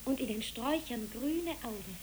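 A woman playing an earnest, careful child in a German radio play, measured and questioning. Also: a little high, lively intonation, darkish tone, close to an everyday voice, rough recording. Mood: pleading. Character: earnest, careful child, the more discreet companion. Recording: hissy old radio play recording.

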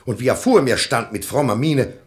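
Actor as animated, formal gentleman brother-in-law. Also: mid-range voice, moderate pace, natural voice. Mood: indignant. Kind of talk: classic verse comedy radio play acting.

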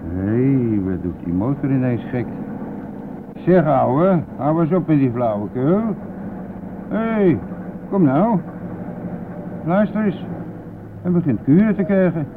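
An actor playing a boastful, brash and talkative bus driver in a radio play, with a blustery, colloquial manner. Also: male voice, on the high side, animated, takes it slowly, long pauses. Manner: blustery, colloquial, mildly swearing. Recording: narrow phone-like old radio recording, faint noise.

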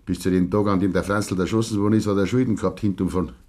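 An actor playing a stubborn, wounded older farmer with a Bavarian accent, gruff and terse in a 1950s dialect radio play. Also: male voice, low-pitched, steady tone, racing, a little mellow. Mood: grim, determined. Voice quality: gravelly, husky.

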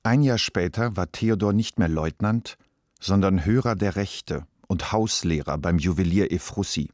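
A man's voice: deep voice, a little mellow, no echo, clean studio sound. A man reading scripted literary narration for a radio play.

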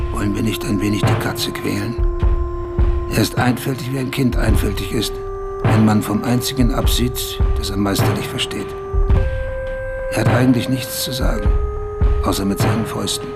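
An actor playing a male essayist, delivering scripted literary narration in a measured, pointed manner for a radio play. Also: on the low side, lightly acted. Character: male essayist delivering a sardonic portrait of a champion. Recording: loud music behind the voice.

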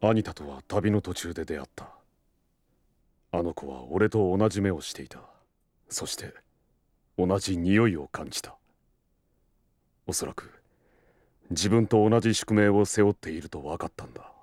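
An actor playing a brooding, cursed vampire hunter in an audio drama, telling his past in a grave, measured way. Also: low-pitched, a little mellow, dry recording, studio quality. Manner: grave, somber, measured storytelling. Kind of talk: Japanese audio drama acting with narration.

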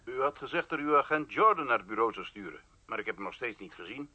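A man's voice: medium pitch, animated, fast, bright, forceful tone, breathy edge, heavily acted. An actor playing an anxious, overworked male police sergeant in a radio drama, speaking hesitantly over a telephone. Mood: worried. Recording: muffled telephone line effect.

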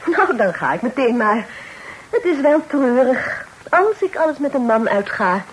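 An actress playing a playful, forthright young sister from a polite period family in a Dutch radio play. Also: female voice, sits high, big pitch swings, unhurried, husky, exaggerated voice. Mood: polite, pouting. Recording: noisy old radio play recording.

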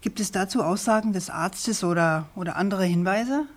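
An actress playing a persistent, sceptical journalist in a radio crime drama, speaking in a probing, deliberate and emphatic way. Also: female voice, mid-range voice, lightly acted. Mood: questioning, insistent. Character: persistent, sceptical female journalist questioning a prosecutor.

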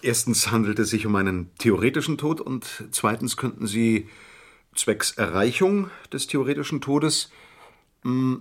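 A dry, husky-voiced lawyer. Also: male voice, mid-range voice, animated. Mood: pondering. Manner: measured, dry, thoughtful hesitations. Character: dry, wary, obliging male lawyer. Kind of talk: radio crime drama acting.

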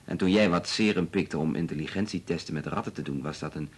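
An actor playing a modest, loyal and cautious research chemist in a Dutch radio play, in a mild, hesitant, measured manner. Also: male voice, deep voice, breathy edge. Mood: cautious, reasonable.

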